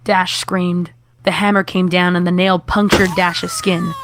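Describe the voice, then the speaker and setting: A woman's voice: on the low side, level tone, a little slow, background noise. A woman reading out a horror story as scripted narration. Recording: music playing behind the voice.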